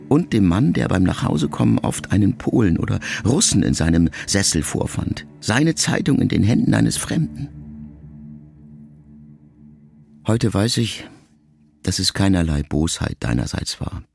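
A middle-aged actor playing a reflective grown son who addresses his dying mother in a measured, intimate radio-play monologue, with a gravelly voice. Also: on the low side, sing-song, darkish tone, full character voice. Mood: sober reflection. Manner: measured, introspective, intimate storytelling. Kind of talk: literary first-person monologue in a radio play. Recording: music under the voice. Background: music.

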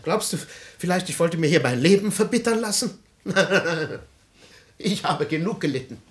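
An actor playing a petulant, self-pitying and helpless husband in a radio play, whining theatrically. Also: male voice, high voice, lively intonation, a little slow. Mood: aggrieved. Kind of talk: German radio play acting.

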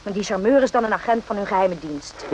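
An actress playing a composed, quick-witted woman on military staff in a radio play, crisp and matter-of-fact. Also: medium pitch, expressive, a little mellow, lightly acted. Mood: wry. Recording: noisy old radio play recording.

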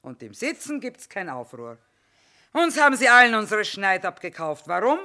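A woman's voice: medium pitch, big pitch swings, normal speed, bright, forceful tone. An actress playing a shrewd, middle-aged female war trader, earthy and sardonic, in theatrical style. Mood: sardonic, resigned. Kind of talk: theatrical stage acting with half-sung song.

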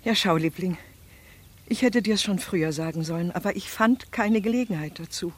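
Low-voiced, motherly servant woman. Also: moderate pace, husky. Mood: anxious, tender. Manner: homely, fretful, affectionate. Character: devoted, motherly servant woman, plain-spoken and anxious. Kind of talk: German radio drama acting. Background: music.

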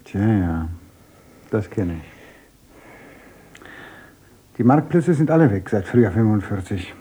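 A smooth-talking young man in a radio drama. His voice is husky and dark, and he chats on eagerly to please his hosts. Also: fairly low pitch, big pitch swings, slow, heavily acted. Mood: chatty. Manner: talkative, ingratiating. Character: glib chatty young man, boastful guest. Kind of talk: radio play acting.

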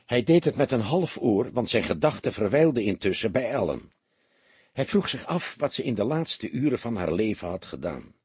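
A man narrating a literary crime story as an audiobook, in an expressive storytelling manner. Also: lowish voice, moderate pace, breathy edge, no room sound.

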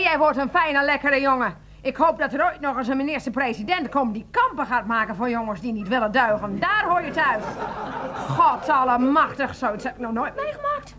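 A high, very animated voice of a doting aunt in a radio drama. She fusses and exclaims, swinging widely in pitch as she chides her nephew. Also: a little hiss or hum. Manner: exclamatory, fussing, chiding. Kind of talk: radio play acting.

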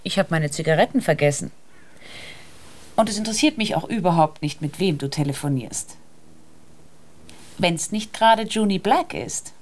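An actress in a naturalistic radio drama playing a practical, sceptical sister with a dry, pointed manner. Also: female voice, fairly low pitch, lively intonation, moderate pace, pauses often, slight background noise, natural voice. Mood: needling.

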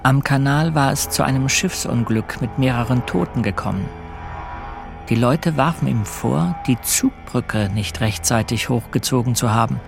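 Male actor as sombre storyteller, creaky medium-pitched voice, measured radio drama narration, music behind. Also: close to an everyday voice. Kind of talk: scripted radio play narration.

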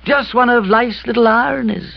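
A man voicing a dejected young gentleman in a comic audiobook, husky and plaintively explaining himself. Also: very high voice, normal speed, no echo, muffled recording, voice pushed up. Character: dejected young gentleman friend confessing romantic woes.

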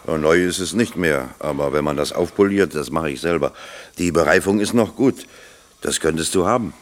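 An actor in a German radio play playing a kindly, grumbling older locksmith who talks plainly and colloquially. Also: male voice, a bass voice, normal speed. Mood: gruffly generous. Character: kindly, grumbling, self-deprecating older male factory locksmith.

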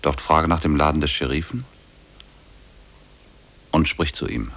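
A man's voice: rumbling low, fairly bright tone, full character voice. A man playing a solemn, commanding spirit in the shape of an ape, speaking formally in a 1950s radio play. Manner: measured, solemn, formal storybook speech.

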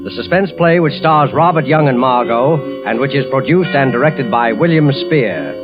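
A man announcing a 1940s radio thriller from a script in an ominous, deliberate way. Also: mid-range voice, lively intonation. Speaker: radio show announcer and host. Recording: dull 1940s radio recording, organ music.

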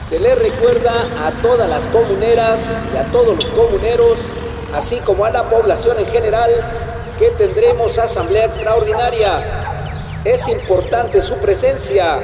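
Out of a long outdoor reverb and clear street noise comes an actor as a male town announcer with a high voice. He declaims a public summons formally, in radio drama style. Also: normal speed, lightly acted.

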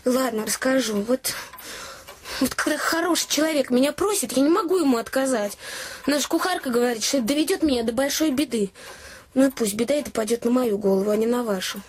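An actress playing an anxious, kind-hearted young innkeeper's daughter in an audio play, confiding and earnest, telling things with naive expressiveness. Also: female voice, high voice, quick pace, slightly rough. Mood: anxious, yielding. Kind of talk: Russian audio play storytelling.

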